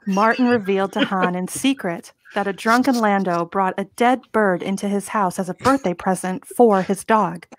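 A woman's voice: medium pitch, normal speed, few pauses, slight background noise. A woman reading scripted stage directions as narrator of a comic table read. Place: home, video call.

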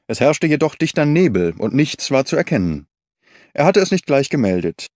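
Man as officious inspector, audiobook, brisk, formal, assertive, varied pitch, dry studio sound. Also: sing-song, a little mellow, dry recording. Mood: officious, assured. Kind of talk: audiobook character reading.

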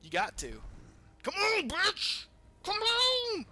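A man's voice: very high voice, takes it slowly, noisy background. A man giving casual, profane gameplay commentary as a let's play host. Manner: profane, animated trash-talk.

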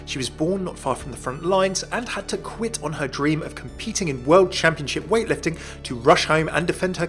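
A young man narrates a scripted fan video. His voice sits a little high for a man. He is chatty, with jokey asides, and soft music plays behind him.

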